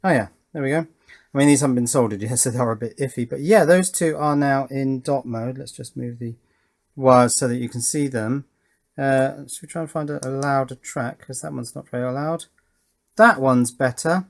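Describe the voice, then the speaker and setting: A man's voice: mid-range voice, normal speed, creaky. A man giving a casual, unscripted hands-on demonstration as an electronics hobbyist, thinking aloud and hesitating as he goes.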